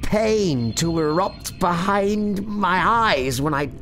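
Male horror narrator, gravelly and deliberate, measured pace over a music bed. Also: high-pitched, lively intonation. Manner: tense, hushed, building to anguished cries. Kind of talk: dramatic horror audiobook narration.

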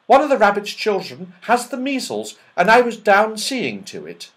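A man voicing an old, long-bearded male elf who is fussy and easily frightened, in a high, squeaky, fretful voice. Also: wildly swooping pitch, moderate pace, fairly bright tone, heavily acted. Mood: chatty. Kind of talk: storybook character voice.

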